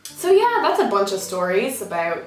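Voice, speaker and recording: A woman's voice: mid-range voice, wildly swooping pitch, moderate pace. A young Irish woman with a slightly American-tinged accent, telling sarcastic work stories in a casual chatty way, and swearing freely. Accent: Irish, partly American-sounding. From Ireland. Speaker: vlogger. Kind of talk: casual storytime monologue. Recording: roomy, slightly distant, music bed.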